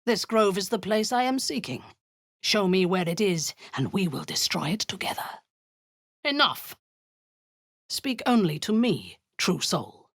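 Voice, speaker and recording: A woman playing a cruel, haughty drow cult commander in a video game, with a breathy, husky voice and a commanding, menacing manner. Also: mid-range voice, sing-song, measured pace. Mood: zealous, haughty. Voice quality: breathy, husky, often dropping to a whisper. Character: imperious, cruel female drow cult commander, seductive and zealous.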